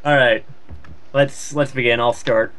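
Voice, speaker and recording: A man's voice: medium pitch, fairly even intonation, a little slow, fairly bright tone. A man co-reading fan fiction aloud in a joking, casual style, exasperated and prone to swearing.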